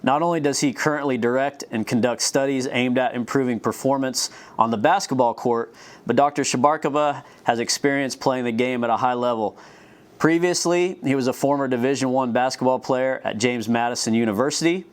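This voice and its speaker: Man, mid pitch, studio interview host, scripted intro, joking banter. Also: a little fast. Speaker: host, professor.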